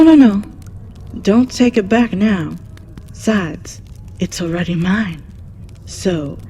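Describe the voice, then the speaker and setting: A woman's voice: mid-range voice, dark tone, slight background noise. A woman playing a sly, teasing devil in an audio roleplay, drawling with amused taunts as they bargain for a soul.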